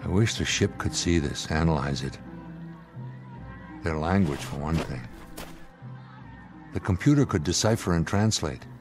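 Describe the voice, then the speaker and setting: An actor playing a principled, earnest astronaut in a radio drama, speaking gravely and emphatically. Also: male voice, very deep voice, animated, measured pace, pauses often, over music. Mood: awed. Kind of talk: dramatic radio play acting.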